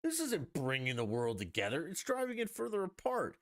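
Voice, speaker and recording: A man's voice: fairly high pitch, huge pitch swings, fairly quick, fairly bright tone, no room sound. A man hosting a podcast, talking alone in a blunt, profane, emphatic rant. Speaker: podcast host. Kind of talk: opinionated solo podcast rant.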